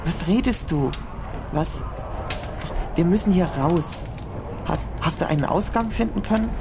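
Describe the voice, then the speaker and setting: A woman's voice: fairly low pitch, expressive, measured pace, gravelly. A woman playing a frightened but defiant young man who talks in a tense, urgent way, in an amateur audio drama with noisy background hiss. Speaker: hero.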